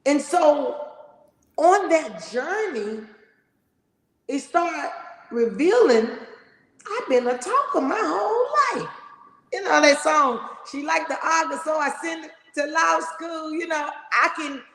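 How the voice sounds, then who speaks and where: Middle-aged woman, loud big voice, emphatic, fairly high pitch, echoey room with long reverb. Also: animated, slow. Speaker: podcast host. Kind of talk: motivational casual talk.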